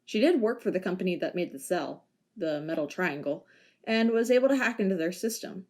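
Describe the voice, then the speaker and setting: A woman's voice: fairly low pitch, expressive, brisk, darkish tone. A woman playing a retired policewoman in a wheelchair, dry and matter-of-fact in an amateur audio drama. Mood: wry. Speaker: narrator and lead character.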